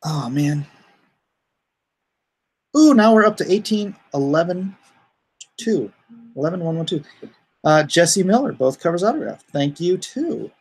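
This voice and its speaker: A man hosting a livestream in a casual, chatty way, informal and given to excited exclamations, often halting as he goes. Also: fairly high pitch, husky. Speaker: livestream host and comic artist. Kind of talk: casual livestream chat, reacting and thanking viewers.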